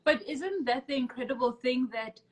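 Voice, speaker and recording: Female host, slightly high pitch, conversational, emphatic storyteller. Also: moderate pace. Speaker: podcast host. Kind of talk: casual conversational interview.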